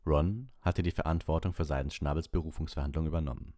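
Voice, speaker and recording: A man's voice: a bass voice, fairly even intonation, quick pace, mellow, dry recording, clean studio sound. A man reading an audiobook as narrator, in scripted storybook narration.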